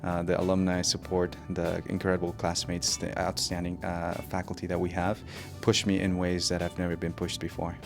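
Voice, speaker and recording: A man, an MBA student giving steady, appreciative interview answers. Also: low-pitched, moderate pace, creaky, few pauses, faint music behind. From Colombia.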